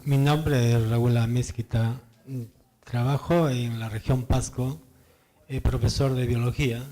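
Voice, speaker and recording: A man's voice: mid-range voice, steady tone, measured pace, mellow. A man, a biology teacher in the audience, asking a formal question.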